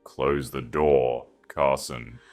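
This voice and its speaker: Young aristocratic bride, played by male narrator: composed, formal, decisive, low register, audiobook period drama. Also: steady tone, very slow, faint music behind, full character voice. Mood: cold command. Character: young aristocratic bride, gentle yet resolute lady.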